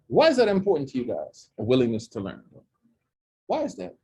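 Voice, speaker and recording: A man's voice: medium pitch, huge pitch swings, brisk, a little mellow, slightly rough. A man in his forties from Oklahoma with an Oklahoma accent, leading an informal talk with students and asking them questions. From Oklahoma, USA. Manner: chatty, jokey, asks the audience questions. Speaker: imam leading a student session. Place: campus center room with a student group.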